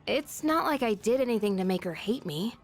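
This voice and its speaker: A woman voicing a friendly, earnest, slightly shy teenage schoolgirl in a video game, soft-hearted and reflective. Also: on the high side, lively intonation, brisk. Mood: wistful. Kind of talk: English video game voice acting. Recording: background music under the voice.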